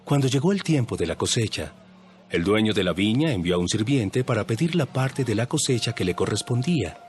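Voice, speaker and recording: An actor playing a calm, wise teacher who tells parables in a measured way. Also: male voice, fairly low pitch, slight background noise. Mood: teaching. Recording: voice over light background music.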